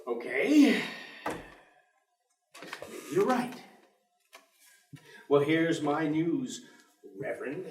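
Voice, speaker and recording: A man on stage playing a bitter, articulate atheist professor who longs for death, in a theatrical declamatory delivery. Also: fairly high pitch. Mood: grim yearning. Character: bleak, bitter, intellectual atheist male professor longing for death. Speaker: stage actor in a play. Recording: echoey theatre stage, distant microphone.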